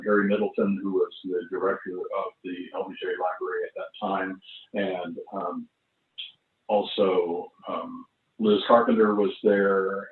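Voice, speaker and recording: An elderly man shares memories in a low voice. He talks as a casual, unhurried storyteller, pausing as he goes. The sound is thin and phone-like, with a roomy echo. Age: 70s or older. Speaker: veteran performer telling stories. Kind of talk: casual reminiscing at an online launch party. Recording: roomy, distant, phone-like internet audio.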